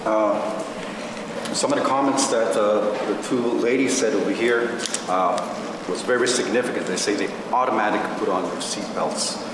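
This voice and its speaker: Lowish voiced guy at a town meeting, careful n halting, really echoey, mic far off. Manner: deliberate, halting, measured phrasing. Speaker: meeting participant. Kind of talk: giving an opinion at a community meeting. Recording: distant mic in noisy echoey hall.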